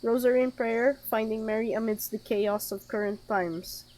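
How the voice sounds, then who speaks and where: A woman's voice: fairly high pitch, steady tone, moderate pace, darkish tone, poor audio. A woman streaming a game with casual commentary, reading text aloud and dropping wry, informal asides. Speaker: gaming streamer.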